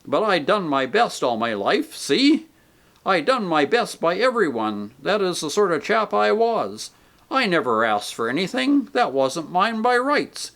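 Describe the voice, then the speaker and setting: A man's voice: high voice, very animated, unhurried, creaky, fairly bright tone, voice pushed up, full character voice. A man voicing a coarse, self-righteous male ghost who talks in blunt, indignant working-man speech.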